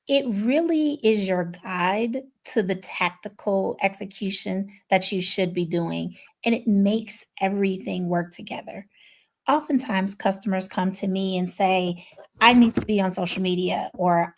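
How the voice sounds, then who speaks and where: A woman in her fifties from Chicago, a marketing consultant chatting candidly on a video call with self-deprecating humor. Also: mid-range voice. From Chicago, Illinois. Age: 50s. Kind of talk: casual panel chat.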